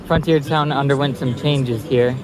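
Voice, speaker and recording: A man's voice: medium pitch, fairly even intonation, normal speed, background noise. A man giving casual running commentary into a phone camera while out at an amusement park. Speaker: vlogger at a theme park. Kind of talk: casual chat and walk-through commentary. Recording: outdoor phone recording with music behind.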